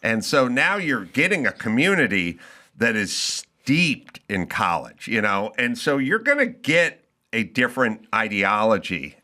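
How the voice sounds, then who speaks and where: A man's voice: medium pitch, sing-song, measured pace, bright, forceful tone. A man hosting a podcast interview, asking blunt, skeptical questions. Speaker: host.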